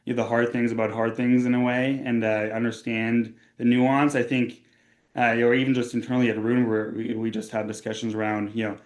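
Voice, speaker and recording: A man's voice: medium pitch, little pitch movement, fairly quick. A young man from Austria, a tech company co-founder, speaking as a guest in a casual podcast interview, talking things through with frequent fillers and restarts. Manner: conversational, many fillers and restarts.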